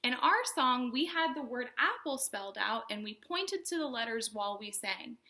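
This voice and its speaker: A woman hosting a storytime for young children, speaking in simple explanatory phrases with an animated, sing-song delivery. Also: a little high, normal speed. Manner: animated, exaggerated questions and exclamations for young children. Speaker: children's librarian and storytime host.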